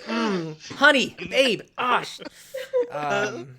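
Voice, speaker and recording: Dm hosting a d and d stream, stumbles over words a lot, jokey and self-mocking, mid-pitched voice. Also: expressive, very slow, bright, forceful tone, a little hiss or hum. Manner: stammering, self-deprecating and joking. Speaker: dungeon master and show host. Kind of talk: casual stream banter introducing a tabletop game.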